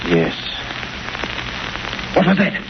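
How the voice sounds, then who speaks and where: An actor playing a nervous, trembling criminal accomplice in a 1930s radio drama. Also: male voice, drawn-out words. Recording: muffled, very noisy 1930s radio recording.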